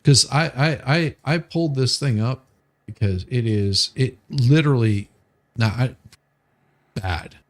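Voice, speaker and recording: A man chatting casually as a livestream co-host, telling stories in a halting way with repeated words. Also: mid-range voice.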